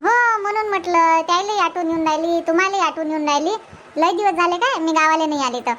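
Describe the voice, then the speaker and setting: A woman's voice: very high-pitched, fast, bright, forceful tone, a little hiss or hum. A woman voicing a chatty young married village daughter in an amateur cartoon comedy, with a rural Vidarbha Marathi accent. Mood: cheerful, chatty.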